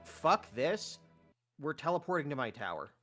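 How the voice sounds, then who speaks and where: A man's voice: a little high, very animated, moderate pace, pushed and bright, soft background music. A man playing a tabletop roleplay game, talking casually and hesitantly, with some swearing. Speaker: player.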